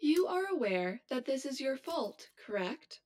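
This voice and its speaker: A woman's voice: sits high, big pitch swings, unhurried, a little mellow. An actress playing a cold female inner double in an audio drama, precise, clinical and condescending. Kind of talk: scripted audio drama acting.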